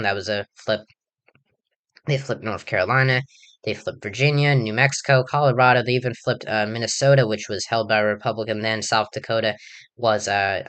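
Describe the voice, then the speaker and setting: A hoarse young man giving a casual election prediction monologue, rambling with many fillers and restarts. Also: lowish voice, a bit bright. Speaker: political commentator.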